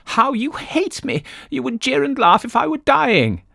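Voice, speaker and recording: A man voicing a fretful, self-pitying wife who plays the invalid, in a shrill, plaintive, theatrical way. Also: sing-song, unhurried, gravelly, sharp tone, no room sound, studio quality, exaggerated voice.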